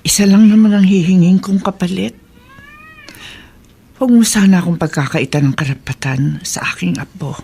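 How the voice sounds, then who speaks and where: An actress playing a calm, gracious older grandmother who offers a job, in a radio drama. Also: on the low side, normal speed, creaky, husky. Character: generous grandmother, businesswoman.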